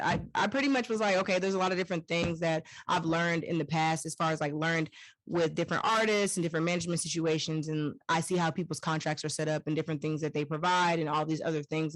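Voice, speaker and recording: Deep-voiced woman, podcast guest, relaxed rambling delivery, dry close sound. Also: quick pace, dry recording, low-quality recording. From family from Jamaica. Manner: relaxed, rambling, laughs easily. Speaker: guest singer-songwriter. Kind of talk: casual interview chat.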